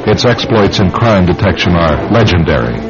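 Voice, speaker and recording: A man delivers dramatic scripted radio narration. His low voice is highly animated and plays over clear music on a slightly dull recording. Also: normal speed. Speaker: announcer and narrator.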